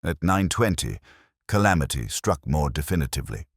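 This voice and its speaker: A middle-aged man reading a scripted comic mystery story in a dry, measured, wryly grave manner. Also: rumbling low, very animated, dry recording. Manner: dry, measured, wry mock-gravity. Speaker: narrator.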